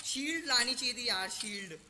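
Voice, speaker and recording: Rambling young gaming host. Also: male voice, extremely high pitch, animated, moderate pace, background noise. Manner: rambling, self-correcting, playful swearing. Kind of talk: gameplay chatter and casual narration to viewers.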